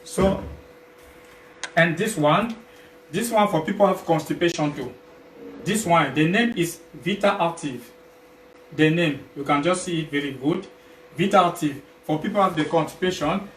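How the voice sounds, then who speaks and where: A man from Cameroon with a Cameroonian accent presents products informally, in a halting way that repeats and rephrases as he goes. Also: on the high side. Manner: halting, repetitive, rephrasing phrases several times. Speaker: health product sales partner, guest presenter. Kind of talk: informal livestream product pitch. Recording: echoey, noisy, distant livestream mic.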